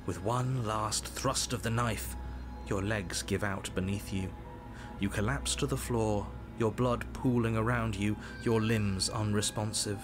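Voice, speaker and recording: A man voicing a dry, exasperated game narrator who talks in a sardonic, deliberate way. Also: lowish voice, fairly even intonation, over music, lightly acted. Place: game audio over background music.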